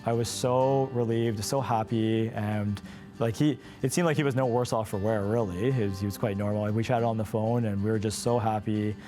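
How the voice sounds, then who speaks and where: A man's voice: on the low side, steady tone, normal speed, dark tone, soft background music. A man speaking candidly as an interview guest, in an earnest, conversational way. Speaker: interview guest, patient's brother. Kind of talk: candid personal storytelling in an interview.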